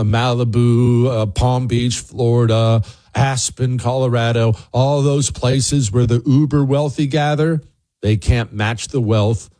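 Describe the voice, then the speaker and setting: A man's voice: medium pitch, dark tone, no echo. An American man hosting a talk show, delivering an opinionated political monologue in an emphatic, blunt, sarcastic way with rhetorical questions. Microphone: close studio microphone.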